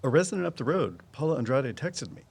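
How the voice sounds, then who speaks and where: An actor playing a guarded, knowledgeable woodsman in an audio drama, explaining things in a measured, folksy way. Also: mid-range voice, very animated, creaky, a little mellow. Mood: matter-of-fact. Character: Walt, guarded, knowledgeable woodsman and conservation volunteer. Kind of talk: full-cast audio drama dialogue.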